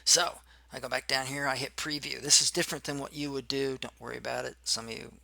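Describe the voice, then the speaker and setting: A hoarse man teaching as a machining instructor in a casual, rambling screen-recorded tutorial full of fillers. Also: mid-range voice, fairly even intonation, fast talker, pushed and bright, no echo.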